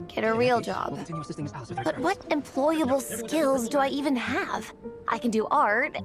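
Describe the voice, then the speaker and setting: A woman's voice: a little high, natural voice. A woman voicing an earnest young comic-artist girl in a video game, insecure but determined, with a hesitant, heartfelt way of explaining herself.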